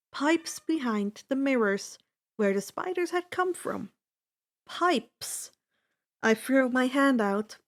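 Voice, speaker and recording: A woman with a high voice narrates adventure fiction. She takes her time, reading slowly and deliberately with expressive, dramatic delivery. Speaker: audiobook-style fan fiction reader. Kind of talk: dramatic first-person story narration.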